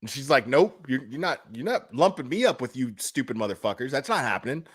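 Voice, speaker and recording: A man's voice: mid-range voice, very animated, a little fast, husky. A man giving casual, opinionated commentary as a streamer, speaking in a blunt, emphatic, foul-mouthed manner.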